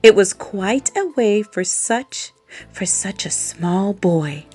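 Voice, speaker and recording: A woman telling a children's bedtime story aloud in an expressive way, adding playful sound effects. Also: medium pitch, slow pace, breathy edge, soft background music.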